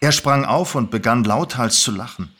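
A man with a medium-pitched voice narrates a story. He reads from a script in the manner of an audiobook. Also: moderate pace, fairly bright tone, breathy edge.

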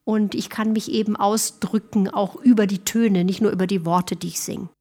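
A woman's voice: medium pitch, fairly even intonation, normal speed, a little mellow. Thoughtful middle-aged woman singer. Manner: thoughtful, with frequent fillers and restarts. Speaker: guest singer. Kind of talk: casual interview chat.

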